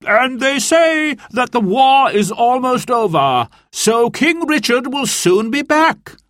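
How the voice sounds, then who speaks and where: Brief: a man voicing a gossiping lord, high and lively. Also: slow, no echo, studio quality, exaggerated voice. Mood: hopeful. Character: gossiping noble lord at dinner.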